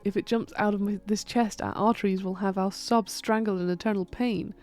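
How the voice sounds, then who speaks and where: A woman's voice: medium pitch, steady tone, fairly quick, a little mellow, faint music behind, close to an everyday voice. A woman voicing an old witch and necromancer, blunt, sardonic and crude-mouthed, in an audio drama. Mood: menacing. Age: older.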